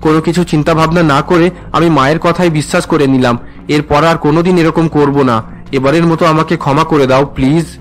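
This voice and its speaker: Young cartoon man, acted by a man, earnest, concerned, fast-talking, slightly high voice, background music. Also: fairly even intonation, lightly acted. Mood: pleading. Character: earnest young men: husband, student, dutiful son. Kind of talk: cartoon drama dialogue.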